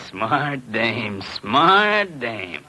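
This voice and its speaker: An actor playing a dim, chatty small-time gangster henchman in a vintage radio crime drama, slangy and simple-minded. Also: medium pitch. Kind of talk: 1940s-50s radio crime drama acting. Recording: noisy vintage radio recording.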